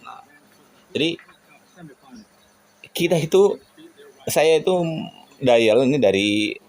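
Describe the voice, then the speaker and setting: A man from Indonesia giving a casual, reflective monologue to viewers in an earnest, halting way, often tossing in rhetorical questions. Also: lowish voice, creaky, a little mellow. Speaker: radio hobbyist vlogger.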